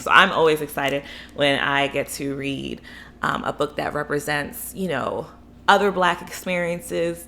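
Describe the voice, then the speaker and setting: A woman's voice: deep voice, fairly bright tone, a little hiss or hum. A young adult woman from Florida reviewing books in a casual, rambling, enthusiastic vlog, full of fillers and asides. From Florida, USA. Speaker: book vlogger.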